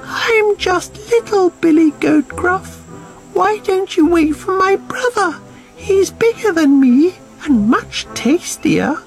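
A man voicing a tiny, terrified young billy goat in a storybook, squeaking and pleading timidly. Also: animated, takes it slowly, creaky, soft background music, heavily acted, rough recording.

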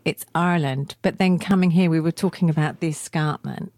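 A middle-aged woman with an English accent hosts a relaxed local radio interview. She talks eagerly and jumps in with her own stories. The sound is dry and close. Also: low-pitched, fairly quick, dry recording. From England. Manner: chatty, enthusiastic, talks over guest. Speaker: radio host.

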